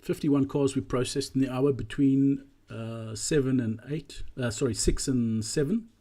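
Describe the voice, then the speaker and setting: Man with a husky, mellow voice, explaining. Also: mid-range voice, steady tone, unhurried. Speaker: presenter. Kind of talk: narrated walkthrough presentation.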